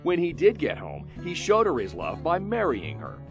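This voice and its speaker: An American male reporter tells a human-interest story in scripted, dramatic fashion. His pitch moves in lively sweeps through short, emphatic phrases and pauses. Music plays softly under his voice. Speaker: news reporter narrating a feature story.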